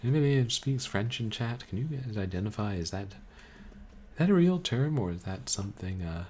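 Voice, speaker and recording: A man streaming a book reading, chatting casually with his chat in a calm, musing, halting way. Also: fairly low pitch, slight background noise. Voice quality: calming voice. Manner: musing, soft-spoken, halting. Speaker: streamer reading books aloud.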